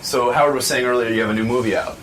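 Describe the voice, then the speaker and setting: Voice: mid-range voice, steady tone, very fast, background noise. A show staffer greeting and welcoming a guest in a friendly way. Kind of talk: casual chat.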